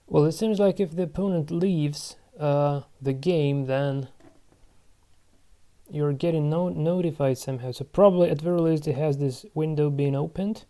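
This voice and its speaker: Man, 30s, channel host; dark, mellow tone; halting think-aloud game commentary. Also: a little high. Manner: musing aloud to himself, halting. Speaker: channel host playing online board games.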